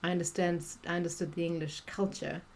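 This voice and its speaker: Middle-aged South African woman, low-set voice, easy chatty podcast guest. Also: flat delivery, fast. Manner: chatty, many restarts and fillers. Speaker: guest, executive coach. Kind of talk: podcast interview conversation.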